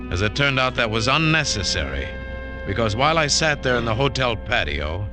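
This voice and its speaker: An actor playing a wary, hard-boiled American adventurer, dry and clipped, narrating and acting in a 1940s radio drama. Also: male voice, mid-range voice, very animated, fairly quick, over music, close to an everyday voice. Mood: brooding. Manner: clipped, dry, world-weary. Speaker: lead actor and first-person narrator. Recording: vintage 1940s radio recording.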